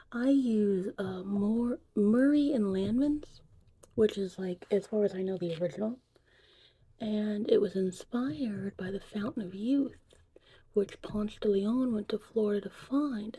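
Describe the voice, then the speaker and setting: A woman hosting a soft-spoken ASMR tutorial roleplay, chatting casually in a hushed voice that drifts in and out of whispers. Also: medium pitch, slightly rough, low-quality recording. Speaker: ASMR creator and host.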